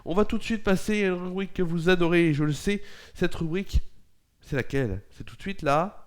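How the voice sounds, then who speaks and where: A man's voice: high voice, brisk. A young man presenting a local news and weather bulletin with a stuffy nose from a cold, often hesitating and correcting himself. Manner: hesitant, frequent fillers and self-corrections.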